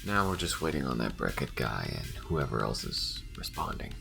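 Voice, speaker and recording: Guy doing a gruff space smuggler, super deep n crackly, dry sarcastic, audio roleplay w music behind. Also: very animated, moderate pace, full character voice. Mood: wry, teasing. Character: gruff male smuggler in space adventure, wry, guarded.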